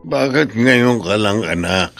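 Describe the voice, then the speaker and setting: Slow, gravelly, weary father. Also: fairly low pitch, expressive, over loud music, heavily acted. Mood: worried. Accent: Filipino. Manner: worried, tender, weary. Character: ailing, gentle, forgiving hospitalised father. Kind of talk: Philippine radio drama acting.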